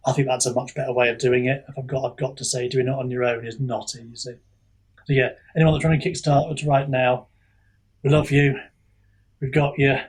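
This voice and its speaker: A man with a husky voice talking candidly and at length as an author guest in a casual chat. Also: medium pitch, little pitch movement, brisk.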